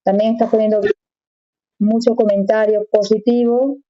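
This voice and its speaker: A woman's voice: fairly high pitch, little pitch movement, normal speed, highs cut off. A woman interviewing an author in a chatty, encouraging way, often ending with tag questions. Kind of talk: friendly casual interview.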